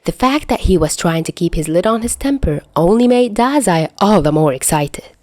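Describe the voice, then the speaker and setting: A woman's voice: fairly low pitch, lively intonation, normal speed, darkish tone, dry recording, studio quality. A woman reading fan fiction aloud as a scripted story narration.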